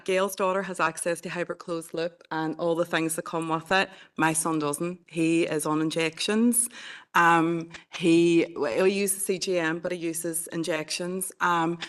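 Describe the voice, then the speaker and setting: A woman's voice: fairly low pitch, a bit bright, clean tone. A Northern Irish woman in her forties, a charity representative earnestly addressing a council committee. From Northern Ireland. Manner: earnest, forthright advocacy.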